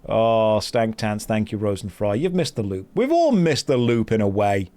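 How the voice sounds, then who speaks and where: A man's voice: on the low side, big pitch swings, moderate pace, dark tone. Joking man streaming a game. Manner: self-mocking, joking, reads chat and quest text aloud. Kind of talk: casual live-stream chat while playing a game.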